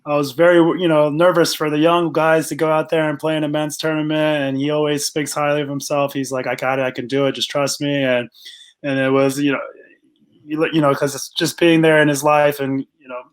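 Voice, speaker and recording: A man speaking casually as an interview guest, telling things in a halting, earnest way with many fillers. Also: a little high, level tone, smooth. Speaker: guest, rugby coach and organizer.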